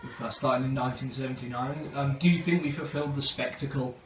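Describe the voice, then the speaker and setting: Male interviewer, mid pitch, informal and hesitant, roomy with faint noise. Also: fairly even intonation, quick pace. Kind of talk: casual, hesitant questioning. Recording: echoey room with faint noise.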